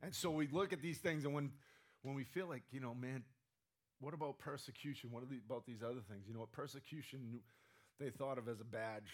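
A man's voice: mid-range voice, fairly quick. A man preaching a conversational sermon, often asking the room "right?", his voice strained and sometimes cracking. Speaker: pastor.

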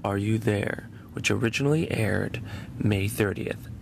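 A man's voice: fairly low pitch, measured pace, gravelly. A man hosting a podcast in a conversational presenting style. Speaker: podcast host.

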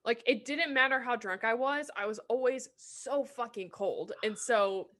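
A young woman co-hosting a podcast, chatting in a blunt, animated way and swearing freely. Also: a little high, measured pace. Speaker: podcast co-host. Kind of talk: casual chat.

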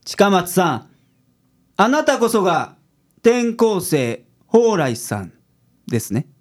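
A woman's voice: medium pitch, sing-song, takes it slowly. A woman with a Kansai accent playing a shrewd, laid-back male detective boss in a radio drama. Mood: calm, probing. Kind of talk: scripted radio drama acting.

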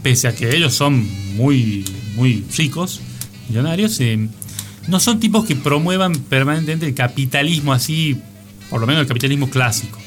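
A man's voice: mid-range voice, expressive, normal speed. A man with an Argentine accent hosting a casual, joking radio chat. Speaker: radio host. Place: radio studio. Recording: music bed behind the voice.

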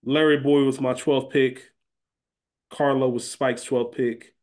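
A man's voice: mid-range voice, level tone, slow pace, a little mellow. A man chatting casually and emphatically as a podcast co-host, conversational in his delivery. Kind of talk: casual chat and recap.

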